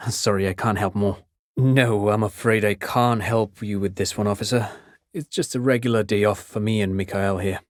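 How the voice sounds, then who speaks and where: A man's voice: on the low side, fairly even intonation, normal speed, no room sound, studio quality, lightly acted. A male actor playing a polite, enthusiastic, pedantic intellectual in a video game, explaining things in an erudite, courteous way. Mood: apologetic, polite. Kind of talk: video game dialogue acting.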